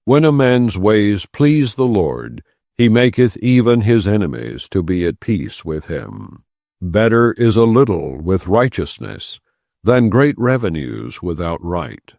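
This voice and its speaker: Deep-voiced man solemnly reading scripture. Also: very animated, gravelly, a little mellow, dry recording, studio quality. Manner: measured, formal delivery. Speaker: audiobook narrator.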